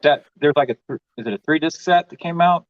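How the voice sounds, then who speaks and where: Male co-host, relaxed livestream banter, slightly higher voice, dull lo-fi mic. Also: quick pace, dry recording. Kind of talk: casual livestream chat.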